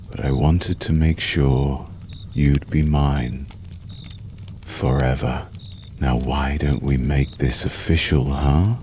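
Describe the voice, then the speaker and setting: Very deep, slow vampire lover acted by a man. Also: warm tone, slight background noise. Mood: seductive, hungry. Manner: slow, soothing, menacingly tender, lingering pauses. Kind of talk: ASMR roleplay, intimate storytelling.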